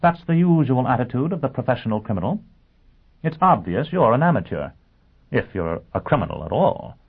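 A man voicing a brisk, skeptical, sharp-tongued lawyer in an audiobook, firing off clipped, incredulous questions. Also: mid-range voice, very animated, slightly rough, voice pushed up. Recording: narrow phone-like sound.

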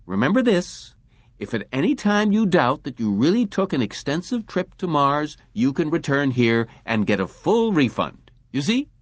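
Man doing a genial pushy salesman, pitched up. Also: very animated, moderate pace, no room sound. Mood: persuasive. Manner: genial, emphatic, patient. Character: smooth persuasive middle-aged male salesman.

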